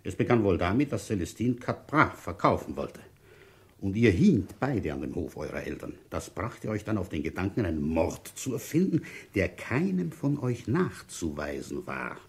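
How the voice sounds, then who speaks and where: A man playing a shrewd, wry village police inspector in a radio play, deliberate and brooding as he tells his case. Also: low-pitched, big pitch swings, slightly rough, full character voice. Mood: coolly accusing. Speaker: narrator and lead actor. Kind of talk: radio play, first-person detective narration.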